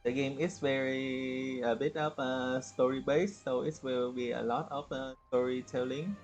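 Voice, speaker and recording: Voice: medium pitch, steady tone, measured pace, a little mellow. A game livestreamer chatting casually and a little hesitantly to viewers. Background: faint game music.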